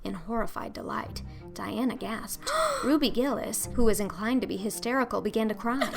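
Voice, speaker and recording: Female audiobook narrator: theatrical literary storytelling with some fry in the tone, over clearly audible music. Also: on the high side. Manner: theatrical, emphatic. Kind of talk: expressive scripted storybook narration.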